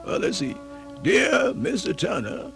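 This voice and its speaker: A man playing a folksy, cheerful old country storyteller, stammering and muttering in a gravelly voice for radio theatre. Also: very high-pitched, huge pitch swings, over music, full character voice. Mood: curious, muttering. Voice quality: creaky, gravelly. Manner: stammering, muttering, drawn-out rustic talk. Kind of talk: radio theatre character acting.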